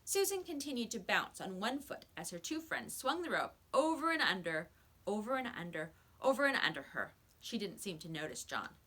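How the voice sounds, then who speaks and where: A woman reading a children's story aloud in expressive narration. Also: mid-range voice, normal speed. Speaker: storybook reader.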